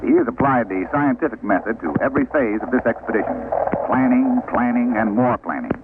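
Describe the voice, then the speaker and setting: A loyal, confident polar surgeon acted by a man gives an interview in an old radio drama. His mid-pitched voice is assured and matter-of-fact. The recording is thin, phone-like and very noisy. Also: fairly quick, natural voice. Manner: assured, emphatic, explanatory.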